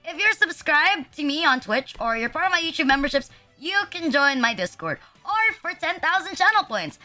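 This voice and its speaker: A high, bright-toned young woman chats loosely on a livestream, her nose a little stuffed and sniffly. She rambles and jokes at her own expense, dropping swears along the way. Soft music plays faintly behind her. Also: very animated, normal speed. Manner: rambling, casually profane, self-deprecating. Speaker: VTuber streamer. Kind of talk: casual livestream chat.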